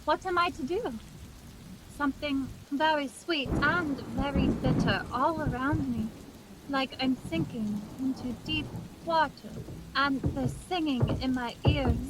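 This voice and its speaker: A woman playing a frightened, fragile young gentlewoman in love, with slow, tremulous delivery in a radio play. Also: high voice, very noisy. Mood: dreading, dazed. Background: music, rain and thunder.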